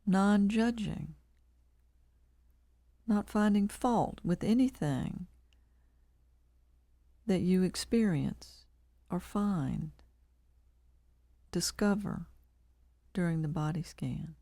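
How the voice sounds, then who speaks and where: Female meditation guide, lowish pitch, unhurried delivery in short phrases with long pauses, calm and measured, dry close sound. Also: dry recording. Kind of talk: guided body scan meditation.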